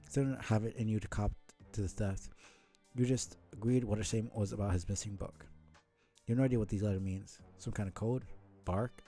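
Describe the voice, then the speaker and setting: Guy reading a comic on stream, really dark relaxed voice, kinda creaky, halting mutters, music on. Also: on the low side. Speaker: streamer reading a webcomic aloud. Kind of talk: casual live read-aloud of a comic with asides.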